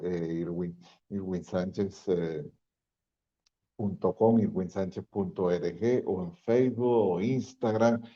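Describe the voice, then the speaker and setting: A man's voice: sits low, warm tone. An older man from Venezuela with a Venezuelan accent, a pastor sharing his testimony in a folksy storytelling way. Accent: Venezuelan Spanish. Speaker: pastor and guest speaker. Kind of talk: testimony talk. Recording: dull lo-fi sound.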